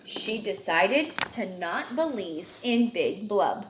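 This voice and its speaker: A woman's voice: mid-range voice, very animated, slow, roomy sound, slight background noise, rough recording. A woman reading a picture book aloud expressively, as a storyteller to a child, with dramatic emphasis on key words.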